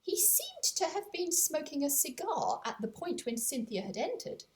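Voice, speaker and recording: A woman narrating a scripted storybook as an audiobook, in an expressive storytelling manner. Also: on the high side. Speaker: author narrating her own audiobook.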